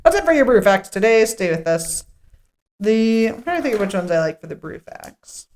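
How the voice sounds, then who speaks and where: A woman's voice: a little high, sing-song, moderate pace, a little mellow. A woman co-hosting a podcast, reading a script aloud at a table read and dropping in casual, joking asides. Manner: jokey asides while reading. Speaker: podcast co-host.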